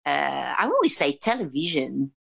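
A woman from Italy with an Italian accent, telling stories as an interview guest in a chatty, animated way with frequent restarts. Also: deep voice, normal speed, vocal fry, slightly rough. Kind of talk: casual conversational storytelling.

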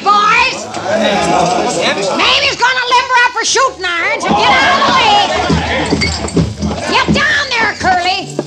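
An actress in a 1950s radio drama playing a brash, tough-talking woman saloon owner who is a crack shot and quick to flare up. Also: very high-pitched, full character voice. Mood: boastful. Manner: brassy, boastful, quick to flare up. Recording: old radio broadcast with faint hiss.

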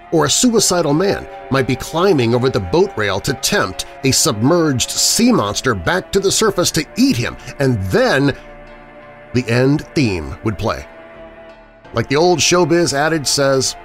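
A man hosting a podcast, reading a scripted introduction to old-time radio with theatrical, deliberate emphasis. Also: medium pitch. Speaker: podcast host. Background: background music.

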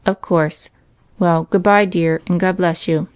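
A woman's voice: fairly low pitch, steady tone, unhurried, darkish tone, clear, resonant tone, dry recording, close to an everyday voice. A woman voicing a kindly, reassuring family father in a storybook reading. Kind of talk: storybook character voice.

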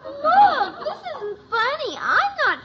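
A woman's voice: very high voice, wildly swooping pitch, slow pace, heavily acted. An actress playing an anxious, tearful little girl, a tomboy kid sister, in a 1950s radio sitcom. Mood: indignant. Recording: dull, faintly noisy old radio recording.

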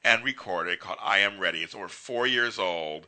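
A man hosting a relaxed interview show, talking in a quick, upbeat way and hopping between ideas. His voice sits a bit low but sounds strikingly bright and sharp. His throat is dry. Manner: chatty, upbeat, jumps between thoughts. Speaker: podcast host. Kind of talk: casual interview chat.

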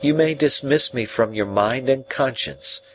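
Man playing an earnest young gentleman. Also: mid-range voice, a little hiss or hum, pitched above the performer's own voice, close to an everyday voice, rough recording. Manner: earnest, measured pleading.

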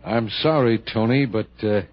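An actor playing a plain-spoken, apologetic police sergeant in a 1960 radio drama, husky and hesitant, with folksy phrasing. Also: male voice, on the low side, normal speed, natural voice. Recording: dull vintage radio recording.

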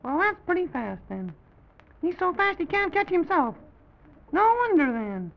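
Fussy little president of a children's toy land: extremely high, crackly voice, flustered rambling, muffled vintage recording. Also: male voice, very animated, normal speed, full character voice. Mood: exasperated. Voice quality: high, creaky. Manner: fussy, folksy exclamations, rambling and repeating himself. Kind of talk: old-time children's story show character acting. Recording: dull, lo-fi vintage broadcast recording.

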